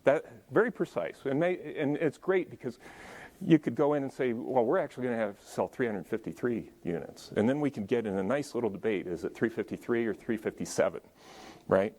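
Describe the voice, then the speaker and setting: A man with a deep voice giving a conversational lecture at a symposium, adding joking asides to the audience and moving around as he talks. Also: brisk, darkish tone. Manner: moves around while speaking. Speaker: conference speaker. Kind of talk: conference lecture.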